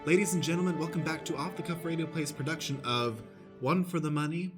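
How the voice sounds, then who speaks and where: A man's voice: fairly high pitch, fairly even intonation, fast talker, music in the background. Presentational male radio play narrator. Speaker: stage manager and narrator.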